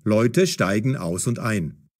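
A man narrating a scripted audio story for language learners in a measured, clearly enunciated way. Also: lowish voice, expressive, noisy background.

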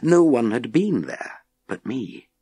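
Man as a wry, deliberate ex-astronaut. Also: mid-range voice, big pitch swings, dark tone, slightly rough, dry recording, pitched above the performer's own voice, heavily acted. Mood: wry, intense. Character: world-weary, wry, authoritative male former astronaut.

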